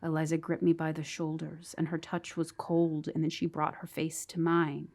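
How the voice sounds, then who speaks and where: A woman's voice: deep voice, monotone, moderate pace, a little mellow. An actress playing a brooding, insecure woman who narrates her troubled romance as an intimate, bitter, haunted ghost story monologue. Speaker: narrator and lead character of a horror audio drama. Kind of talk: dramatic first-person ghost story monologue. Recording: music behind the voice. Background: musical underscore.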